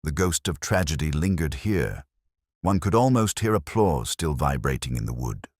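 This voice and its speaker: A man narrating a dramatic mystery story in a measured, solemn manner with short, deliberate phrases. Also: rumbling low, animated, darkish tone, dry recording, clean studio sound.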